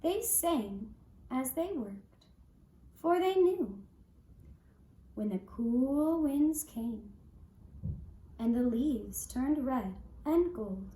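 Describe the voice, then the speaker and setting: Echoey room, woman storyteller, high voice, gentle singsong fairy-tale narration, faint background noise. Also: expressive, drawn-out words, long pauses. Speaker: puppet show storyteller. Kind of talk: scripted fairy-tale narration with lullaby singing.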